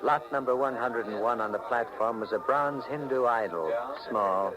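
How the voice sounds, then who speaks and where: A man's voice: mid-range voice, moderate pace, close to an everyday voice. An actor playing an obsessive, stubborn, haunted married man, telling his story in intense, melodramatic 1940s radio style. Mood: brooding, bitter. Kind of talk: 1940s radio drama acting, confessional narration. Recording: noisy 1940s radio recording.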